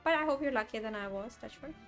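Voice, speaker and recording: Female game streamer: high pitch, casual live commentary, light background music. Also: fast talker.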